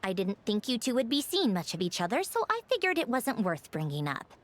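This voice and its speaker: A woman voicing a composed, dry-witted female commander in an anime game, measured and knowing. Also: fairly high pitch, wildly swooping pitch, a little hiss or hum. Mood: subdued, measured.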